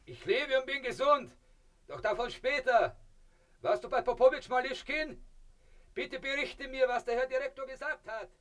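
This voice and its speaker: An actor playing a self-important, bossy husband in a German radio play, speaking in a pompous, commanding manner. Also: male voice, extremely high pitch, moderate pace, fairly bright tone. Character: self-important, bossy husband, contentedly living inside a crocodile.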